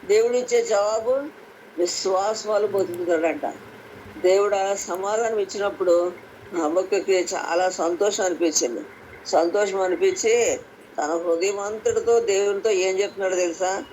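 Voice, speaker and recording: A woman's voice: mid-range voice, moderate pace, darkish tone. Woman preaching, faint background noise. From Andhra Pradesh, India. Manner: explanatory, repeats phrases for emphasis. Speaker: lay preacher at a prayer meeting. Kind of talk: informal devotional sermon with scripture reading. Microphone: phone on a conference call. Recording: noisy conference call audio.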